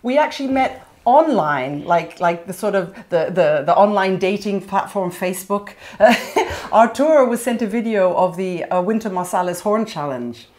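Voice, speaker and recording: A woman's voice: medium pitch, expressive, normal speed, few pauses, darkish tone. A woman with a British accent hosting a live online interview over a video call, bubbly and enthusiastic, laughing often. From born in America, British mother. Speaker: show host, horn player. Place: indoors, live video hangout.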